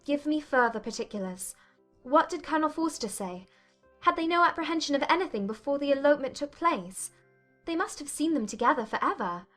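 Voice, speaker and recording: Woman acting an earnest, high-voiced young gentlewoman. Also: lively intonation, brisk, soft background music. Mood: anxious, probing. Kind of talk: dramatized audiobook acting.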